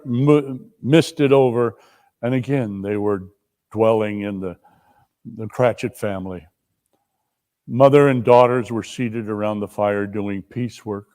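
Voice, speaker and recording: A man giving a dramatic reading of a classic story, with deliberate, solemn, theatrical phrasing. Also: mid-range voice, darkish tone. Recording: reverberant hall.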